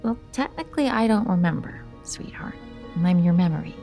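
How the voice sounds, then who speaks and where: A phantom mother in an audio drama. She is calm, tender and wry, with a lowish, gravelly voice full of fry over faint music. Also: normal speed. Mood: tender, wistful. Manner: soothing, wry, matter-of-fact. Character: imagined apparition of a mother, calm, knowing, tender.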